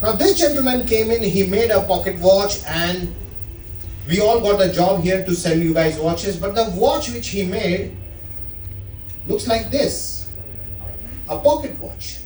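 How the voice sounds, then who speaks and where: A man's voice: high voice, a little slow, long pauses, a little mellow, soft background music. A middle-aged man from India with an Indian English accent, giving a chatty talk to a live audience and asking them questions. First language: not English. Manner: chatty, asks the crowd questions. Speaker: watch specialist presenter. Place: room at a live event. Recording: echoey room, speaker far from mic.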